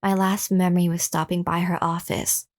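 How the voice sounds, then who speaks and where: A woman's voice: on the low side, little pitch movement, moderate pace, darkish tone, natural voice. A woman playing a wounded captive recounting her lover's abuse in a horror radio drama. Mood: somber, reflective. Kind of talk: first-person horror radio drama narration with acted dialogue.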